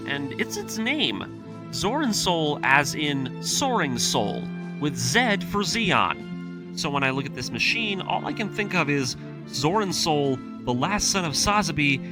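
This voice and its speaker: A man delivering an enthusiastic video essay as an excitable fan, informal and emphatic, with a storyteller's sense of drama. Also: mid-range voice. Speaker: video creator and narrator. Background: music under the voice.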